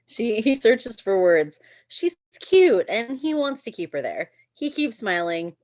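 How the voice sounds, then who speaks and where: A woman's voice: a little high, very animated, unhurried, darkish tone, no room sound, highs cut off. A woman reading stage directions as narrator in a scripted screenplay read.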